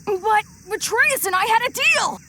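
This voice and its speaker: A woman voicing a plucky, earnest young boy hero in an animated game, boyish and exclamatory. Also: very high voice, measured pace, a little hiss or hum, exaggerated voice. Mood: indignant.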